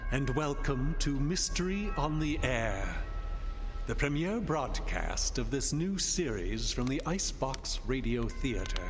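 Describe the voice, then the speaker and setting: A man playing a grave, theatrical doctor who hosts a horror radio show, speaking in an ominous, deliberate manner. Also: mid-range voice, animated, lightly acted. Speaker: host of a horror radio anthology. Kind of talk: old-time radio horror host narration. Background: music.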